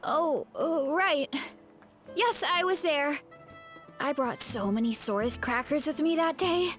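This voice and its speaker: Sweet spacey anime game warrior girl, high and slow, kinda hesitant, soft music behind. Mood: flustered. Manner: hesitant, drifting, unhurried. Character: sweet, hungry young female warrior, modest and dreamy.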